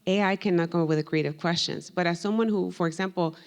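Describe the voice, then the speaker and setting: A woman professor whose first language is Spanish, answering on a panel in a long-winded, animated way with many fillers. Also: lowish voice, fast talker. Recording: reverberant hall.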